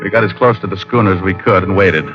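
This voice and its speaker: Guy acting as deep voiced american noir detective, deadpan and tough, old dull radio sound. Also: quick pace, over music, natural voice. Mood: measured narrating. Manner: terse, deadpan. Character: cool, wisecracking male private detective, tough and dry. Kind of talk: 1950s radio noir acting and first-person narration.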